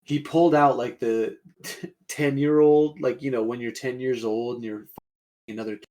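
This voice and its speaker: Dude chatting as a co-host, slangy and crude, regular mid pitched voice. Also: male voice, steady tone, moderate pace, dark tone. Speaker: podcast co-host discussing a webcomic episode. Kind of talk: casual chat.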